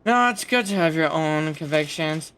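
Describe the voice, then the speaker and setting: A man's voice: on the high side, animated, moderate pace, a bit bright, clear, resonant tone, rough recording. A man giving casual, informal reactions and commentary as he plays a video game. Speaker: streamer playing a video game.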